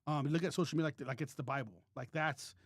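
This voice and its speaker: A man's voice: on the high side, fast, dry recording, clean studio sound. Rambling joking male podcast host. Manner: loose, rambling, joking, many restarts. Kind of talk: casual chat.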